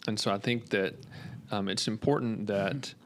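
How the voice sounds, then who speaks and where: An American man speaking as a podcast guest, explaining in a measured conversational way with hesitant pauses. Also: fairly low pitch, slight background noise. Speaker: mortgage lender guest.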